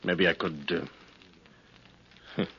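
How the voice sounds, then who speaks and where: An American actor playing a lonely, grieving former ship's skipper in a radio western, speaking in a folksy, halting way. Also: male voice, low-pitched, fairly even intonation, sharp tone, husky, exaggerated voice. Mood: wistful, halting. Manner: folksy, halting, plain-spoken. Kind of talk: 1950s radio western acting. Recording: dull vintage 1950s radio recording.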